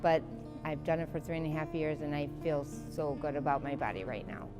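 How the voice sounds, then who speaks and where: A woman in her fifties speaks candidly and plainly in an interview testimonial. Her voice is low for a woman, and music plays clearly underneath her. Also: brisk. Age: 50s.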